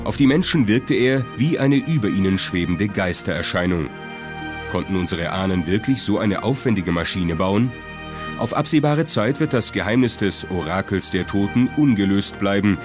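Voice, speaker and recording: A man narrates a scripted documentary in a measured, dramatic way. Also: lowish voice, lively intonation. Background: prominent background music.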